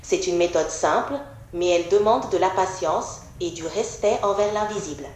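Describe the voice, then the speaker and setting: Calm synthetic woman's assistant voice. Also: on the low side, echoey room, low-quality recording. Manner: measured, even, polite. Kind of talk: calm scripted assistant replies.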